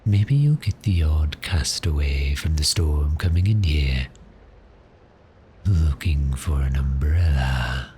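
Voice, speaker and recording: A man playing a cheerful, courteous vampire posing as a customer, speaking politely and gently in an ASMR roleplay. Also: very deep voice, slight background noise. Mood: musing, pleasant. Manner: polite, unhurried, softly genteel with measured pauses.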